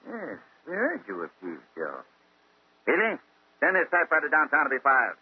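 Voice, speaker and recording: An actor playing a brusque, irritable older police inspector in a 1940s-style radio mystery. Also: male voice, a little high, wildly swooping pitch, normal speed, husky, heavily acted. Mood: gruff. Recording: thin telephone-like old radio recording.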